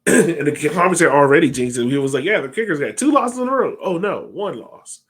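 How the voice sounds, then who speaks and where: A man's voice: on the high side, expressive, brisk, fairly bright tone, slightly rough. An American man in his thirties chats casually and easygoing as a sports podcast host on a video call. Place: home room, indoors, on a video call.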